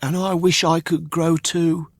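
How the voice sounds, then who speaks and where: A man's voice: fairly high pitch, flat delivery, measured pace, no room sound, clean studio sound, voice pushed up. A man voicing an eager, earnest little wooden puppet boy in a children's storybook. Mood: earnest, wishful.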